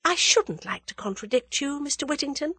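Woman acting a high, sweetly cheeky young adventuress. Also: very animated, normal speed, a bit bright, no echo, full character voice. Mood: poised, placating. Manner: sweet, polite, teasing composure.

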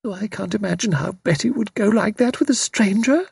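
A man's voice: high voice, fairly quick, vocal fry, a little mellow, slightly rough, dry recording, clean studio sound, pitched above the performer's own voice, heavily acted. A man voicing a distraught, panicked and weepy young mother in an audiobook, with a breathless, wailing manner. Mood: despairing. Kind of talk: audiobook character voice.

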